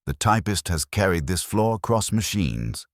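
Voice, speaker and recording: A man's voice: sits low, sing-song, moderate pace, dry recording, studio quality, pitched above the performer's own voice. A man voicing a stern, ponderous older master detective in an audiobook mystery, with formal, precise diction. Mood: pronouncing, certain. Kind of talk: audiobook mystery narration dialogue.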